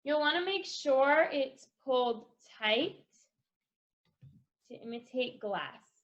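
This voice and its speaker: Slow-paced woman hosting a children's craft tutorial. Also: high-pitched, expressive, highs cut off. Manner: encouraging, instructional. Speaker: craft lesson host.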